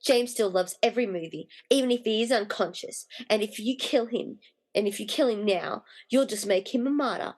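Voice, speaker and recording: A woman's voice: medium pitch, moderate pace, darkish tone, lightly acted. A woman playing a determined, defiant wife in a radio play, indignant and blunt as she challenges a villain. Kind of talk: hobby podcast radio play acting.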